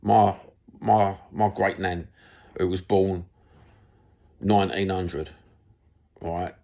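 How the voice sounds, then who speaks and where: A middle-aged Cockney man from London talks straight to his audience in a loose, rambling monologue. His voice sits very low and has a rough, gravelly fry. He is blunt and chatty, and he swears now and then. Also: fairly even intonation, takes it slowly, warm tone. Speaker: football YouTuber. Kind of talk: casual monologue to camera.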